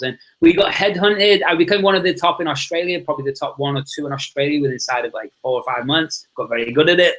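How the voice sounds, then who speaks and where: This is a man, talking in a loose, enthusiastic, rambling way. His voice sits a little on the high side for a man. He sounds like a guest in a relaxed podcast chat. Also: rough recording. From Liverpool, England. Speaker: podcast guest, success coach. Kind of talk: casual conversation.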